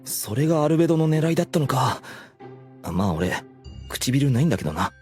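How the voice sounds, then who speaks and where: Man as undead lord's animated, wry inner voice. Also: medium pitch, a little fast, faint music behind, lightly acted. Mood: wry, musing. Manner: casual, muttering, wry. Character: skeleton overlord's ordinary, anxious, casual inner voice. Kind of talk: anime audio drama inner monologue.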